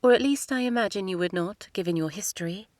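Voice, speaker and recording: A woman voicing a proud young princess who speaks in a crisp, formal way. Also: mid-range voice, quick pace, darkish tone, no echo, natural voice. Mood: reserved.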